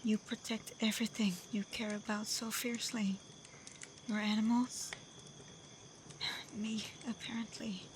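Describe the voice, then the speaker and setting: Woman acting as a gentle kitsune fox-woman, devoted, unhurried, breathy and hesitant, often whispering, intimate ASMR roleplay, night insects faintly behind. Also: on the high side, level tone, slight background noise, close to an everyday voice. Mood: anguished, earnest.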